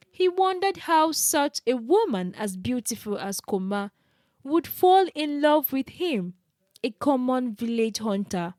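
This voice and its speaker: A woman narrating a scripted folktale in an expressive, deliberate storytelling manner. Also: fairly high pitch, dry recording.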